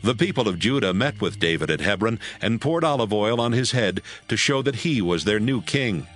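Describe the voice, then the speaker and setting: A man narrating a Bible audio drama from a script in a measured storytelling manner. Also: on the low side, animated, fairly bright tone. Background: music.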